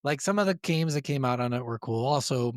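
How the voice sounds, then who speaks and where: A man's voice: medium pitch, animated, fairly quick, dry recording, studio quality. A man co-hosting a podcast, chatting casually about video games in a blunt, opinionated way with frequent swearing. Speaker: podcast co-host.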